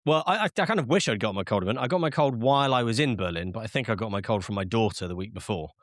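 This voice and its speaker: A man's voice: on the low side, animated, fast, no room sound, studio quality. A man hosting a casual film podcast, chatting with frequent fillers and restarts while sounding slightly nasal from a cold. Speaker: podcast host.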